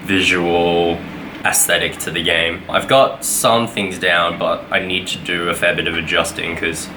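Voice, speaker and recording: Low-voiced man, casual vlog. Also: flat delivery, measured pace, background noise. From Australia. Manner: rambling, filler-heavy, self-correcting. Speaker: vlogger. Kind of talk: casual weekly vlog update.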